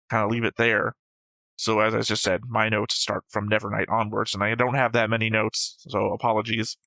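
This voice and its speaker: A man's voice: mid-range voice, vocal fry, bright, forceful tone, no echo. A man co-hosting a reaction show, talking casually and analytically through an anime episode in an explanatory way.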